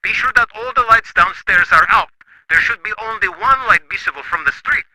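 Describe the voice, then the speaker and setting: A man voicing a cold criminal boss in a radio play, his voice high and very bright. He lays out orders in a flat, businesslike, commanding way. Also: fairly quick, full character voice, poor audio. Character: cold male criminal giving murder orders.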